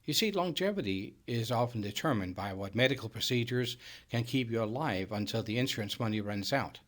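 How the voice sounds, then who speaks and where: A man's voice: medium pitch, expressive, fairly quick, fairly bright tone. A middle-aged man from Denmark with a Danish accent, a native Danish speaker, delivering a scripted sales presentation. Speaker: business owner and presenter.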